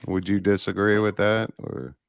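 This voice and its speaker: Low, smooth-voiced man with a mild Southern twang. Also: level tone, moderate pace, dry recording. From Maryland and Pennsylvania. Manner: affirming, many murmured mm-hmms, jokey. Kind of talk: casual group chat over the internet.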